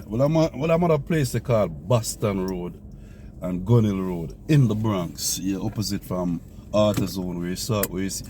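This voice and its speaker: A man's voice: sits low, normal speed, dark tone. A man talking casually to his viewers while driving, rambling and repeating himself. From Jamaica. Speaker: vlogger. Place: car in the city. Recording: noisy car recording with traffic.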